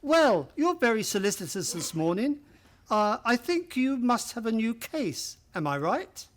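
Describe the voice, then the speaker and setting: A man playing a genial, chatty older gentleman storyteller in an old-time radio play, with courteous old-fashioned manners. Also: extremely high pitch, very animated, unhurried, heavily acted. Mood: curious, eager.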